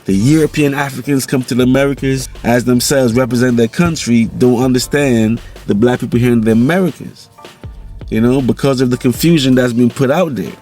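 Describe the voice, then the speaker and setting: Jamaican male vlogger, mid-pitched, easygoing and rambling, faint music bed. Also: brisk, mellow. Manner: loose, rambling, repeats "you know". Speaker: vlog host. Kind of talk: casual monologue vlog.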